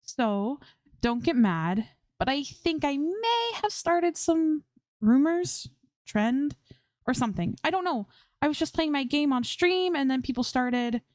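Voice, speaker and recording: Bubbly, nervy young female streamer, played by a woman narrator, pitched up high, scattered delivery, storybook reading. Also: lively intonation, unhurried, a little mellow, no room sound. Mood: anxious, apologetic.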